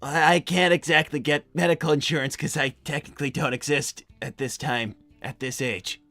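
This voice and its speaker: A low, creaky young hedgehog guy, played by a woman, halting and nervous. He stammers awkwardly through an improvised livestream roleplay, recorded close and dry. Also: steady tone, a bit bright, no room sound, faint music behind. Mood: awkward, hesitant.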